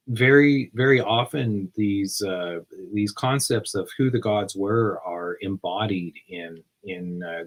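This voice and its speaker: A man's voice: lowish voice, fairly bright tone, low-quality recording. A man talking at length in a casual podcast discussion, rambling with many restarts.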